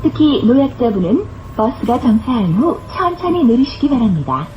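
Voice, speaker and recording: Female transit announcer: high, lively intonation, formal and carefully enunciated, recorded amid vehicle noise. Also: normal speed, highs cut off. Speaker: bus stop announcer. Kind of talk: scripted public transit announcement. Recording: clear vehicle noise throughout. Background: bus interior noise.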